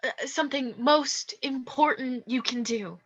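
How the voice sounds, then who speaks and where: A woman's voice: sits high, a little slow, a bit bright, slightly rough, heavily acted. A woman acting in a radio play as a scheming young actress, falsely meek and coolly blackmailing. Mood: coolly menacing. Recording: dull, lo-fi voice track.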